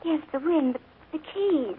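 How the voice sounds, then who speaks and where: A woman playing an earnest young woman in a 1940s radio drama, speaking quietly and tensely but firmly when she stands her ground. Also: high voice, expressive, unhurried, slight background noise. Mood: shaken. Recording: narrow 1940s radio broadcast.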